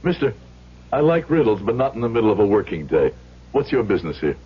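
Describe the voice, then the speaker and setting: A proud, husky immigrant shoemaker. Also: male voice, lowish voice, big pitch swings, exaggerated voice. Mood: wary, defiant. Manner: formal, emphatic, deliberate speech. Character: proud, principled immigrant shoemaker standing up to hoodlums. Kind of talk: old-time radio drama acting. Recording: muffled old radio recording.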